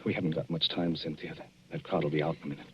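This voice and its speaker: A man's voice: rumbling low, little pitch movement, very fast, a little hiss or hum. A man playing a reserved, lovestruck doctor in a 1940s radio drama, speaking in short, grave, restrained phrases. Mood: restrained longing. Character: reserved, lovestruck doctor bound for war service. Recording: muffled old radio recording with music.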